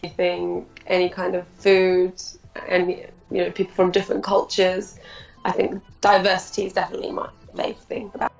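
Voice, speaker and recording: A woman speaks in a flat, gravelly tone, relaxed and chatty. She sounds a little distant from the mic, and the recording is dull and echoing, with faint music behind her. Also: fairly low pitch, normal speed. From Turkey. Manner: relaxed, chatty, says "you know" a lot. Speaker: committee member. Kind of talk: interview answers.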